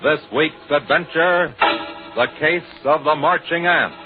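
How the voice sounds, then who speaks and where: A man's voice: fairly high pitch, takes it slowly, loud music behind the voice. An American man announcing and narrating a scripted 1940s radio show in a formal, emphatic, declamatory way. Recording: scratchy, tinny 1940s radio broadcast.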